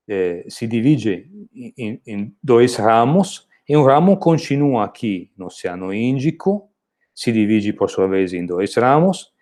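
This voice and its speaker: A university lecturer with an Italian accent, a man speaking his second language. His voice is dark and mellow, and his explanations are steady, with small hesitations and restarts as he walks through the slides. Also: medium pitch. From Italy. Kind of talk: recorded online lecture.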